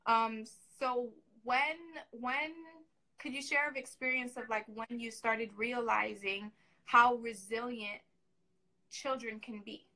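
Woman, livestream host, casual interviewing style, crisp bright tone, fairly high pitch. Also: fairly even intonation, takes it slowly, poor audio.

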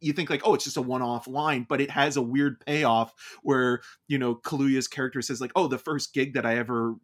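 A man's voice: medium pitch, fairly even intonation, fairly quick. A man co-hosting a casual film review, explaining his points wordily and with enthusiasm. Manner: enthusiastic, wordy, loosely explanatory. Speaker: podcast co-host reviewing films.

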